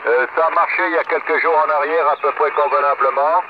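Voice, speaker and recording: A man's voice: on the high side, fairly even intonation, fast talker. A man chatting casually as an amateur radio operator, in a hesitant, talkative way with frequent question tags. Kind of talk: casual ham radio chat. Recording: dull lo-fi audio, loud radio noise.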